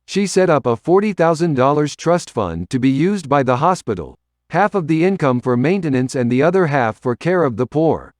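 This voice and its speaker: A man's voice: a little high, expressive, moderate pace, a little mellow, no echo, clean studio sound. A man reading a scripted local history narration.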